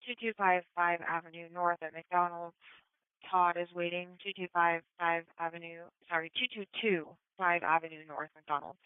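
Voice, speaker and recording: A woman's voice: fairly low pitch, steady tone, moderate pace, clear, resonant tone, no room sound. An American woman dispatching police units in procedural style over a narrow-band radio. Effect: police radio transmission.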